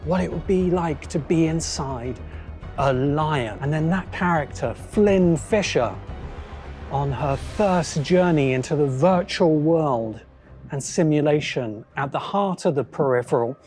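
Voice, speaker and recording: A man narrating a scripted video essay as host, phrasing deliberately with pauses for emphasis. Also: on the high side, darkish tone, faint music behind. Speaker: host, science fiction critic.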